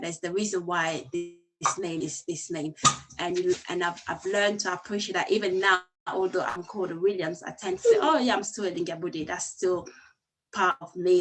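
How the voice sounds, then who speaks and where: A woman's voice: on the low side, slight background noise, poor audio. A woman who grew up in Congo with Lingala as her first language, casually telling her own story on a video call, with many restarts. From Congo and Angola. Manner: warm, rambling, with many restarts. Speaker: speaker sharing her story with a group. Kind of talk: casual personal talk.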